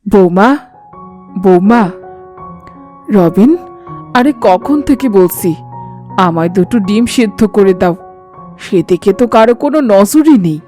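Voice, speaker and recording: A woman's voice: mid-range voice, animated, measured pace, pauses often, mellow, faint music behind, natural voice. A woman voicing a demanding older mother-in-law in a cartoon, complaining and imperious. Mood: impatient. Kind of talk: cartoon family drama acting.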